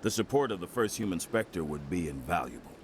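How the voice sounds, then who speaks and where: An actor playing an earnest, smooth nationalist politician in a video game, formal and rhetorical. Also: male voice, low-pitched, lively intonation, a little fast, slight background noise. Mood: defensive, firm. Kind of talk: video game dialogue acting.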